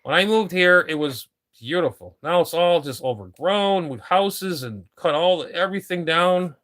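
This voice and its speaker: A man's voice: on the high side, animated, moderate pace, fairly bright tone. A middle-aged man chatting casually on a live stream from his home radio room, rambling and complaining and often repeating himself.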